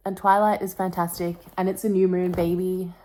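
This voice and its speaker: A woman's voice: fairly low pitch, level tone, moderate pace, dark tone, slight background noise. A young woman vlogging in a casual, confessional way, with a melodramatic, wry and self-mocking manner. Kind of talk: casual confessional vlog with dramatic book reading.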